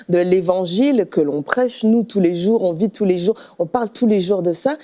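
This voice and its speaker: A middle-aged woman from the Paris region with a French accent, telling her personal testimony conversationally, often pausing to rephrase. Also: fairly low pitch, mellow. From Paris region, France. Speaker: pastor, guest giving testimony.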